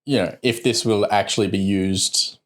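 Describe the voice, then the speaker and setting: A man co-hosting a casual tech podcast, explaining news items in a low-key, slightly hesitant way. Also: steady tone, normal speed, fairly bright tone. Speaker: podcast co-host.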